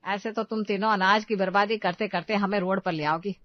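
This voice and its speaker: A woman's voice: mid-range voice, fairly even intonation, fairly quick, no echo, highs cut off, lightly acted. An actress playing an older, thrifty, scolding mother-in-law in a cartoon story.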